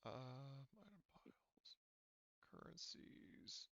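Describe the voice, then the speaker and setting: A man chatting casually as a game master, informal and sweary, thinking aloud as he troubleshoots software over voice chat. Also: medium pitch, animated, drawn-out words, vocal fry, dry recording. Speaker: game master of an online tabletop roleplaying game.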